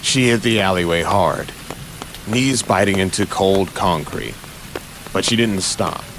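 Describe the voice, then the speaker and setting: A man delivers dramatic, suspenseful audio drama narration in short punchy phrases. His pace is slow, and rain and street ambience are clearly heard behind him. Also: low voice, a bit bright, a little hiss or hum, rough recording.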